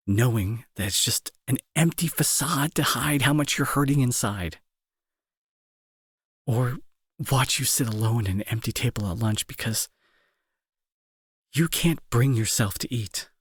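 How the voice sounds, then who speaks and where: A man playing a remorseful, protective bully with a grieving streak, in an intimate dramatic audio roleplay with halting, earnest delivery and a husky, breathy voice. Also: on the low side, no echo, studio quality. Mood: pleading, tender. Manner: halting, emotional, earnest pleading. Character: angry male bully turned remorseful, protective, grieving brother.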